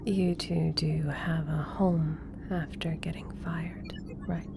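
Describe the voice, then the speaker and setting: A woman playing an intelligent, composed scientist in an ASMR roleplay, speaking in a measured, formal, clinical way. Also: low-pitched, flat delivery, vocal fry, noisy background, full character voice. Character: intelligent, composed female government scientist doctor.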